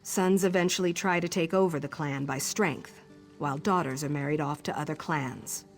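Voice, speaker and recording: A woman's voice: deep voice, normal speed, faint music behind. Dutiful young orc woman. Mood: resigned. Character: young orc chief's daughter, dutiful but yearning for freedom. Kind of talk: video game dialogue acting.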